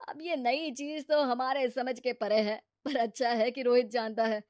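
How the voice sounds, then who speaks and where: Woman as a trusting older father, fairly high-voiced. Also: fairly even intonation, moderate pace, a little mellow, no echo, clean studio sound, lightly acted. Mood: admiring. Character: older father-in-law, trusting, baffled by new technology. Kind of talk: animated family story character acting.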